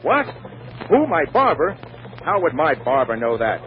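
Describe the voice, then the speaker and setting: An actor playing an irascible, exasperated Wall Street brokerage boss, curt, emphatic and sarcastic, in 1940s radio comedy style. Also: male voice, on the high side, huge pitch swings, a little slow. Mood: agitated. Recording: muffled, noisy old radio broadcast.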